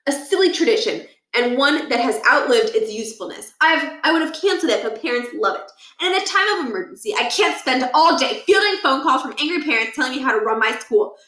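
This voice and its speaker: A woman's voice: high-pitched, expressive, brisk, hardly any pauses, sharp tone, pitched above the performer's own voice. A woman voicing a pompous, power-hungry older male school principal in a children's story, lecturing with condescension and menace. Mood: scornful. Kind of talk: children's book read aloud. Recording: echoey room, distant from mic.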